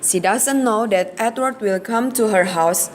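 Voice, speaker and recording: A woman's voice: medium pitch, measured pace, slight background noise. A woman narrating an amateur radio play from a script.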